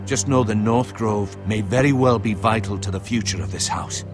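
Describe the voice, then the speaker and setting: An actor playing a grave, trusting counsellor of a noble house in a fantasy game, speaking in a measured, guarded, solemn way. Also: male voice, on the low side, over music, lightly acted. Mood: secretive, grave. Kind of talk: dramatic fantasy game acting.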